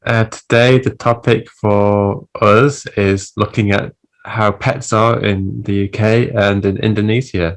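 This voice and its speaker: A woman from England, a teacher explaining things in a relaxed, casual chat and laughing easily. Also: very deep voice. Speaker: English teacher, co-host. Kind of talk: casual conversational Q&A.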